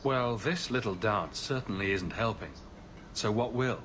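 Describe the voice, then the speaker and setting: An actor playing a confident, wry and blunt fantasy hero in a video game. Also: male voice, on the low side, measured pace, a bit bright, a little hiss or hum. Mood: wry, probing. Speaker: game character.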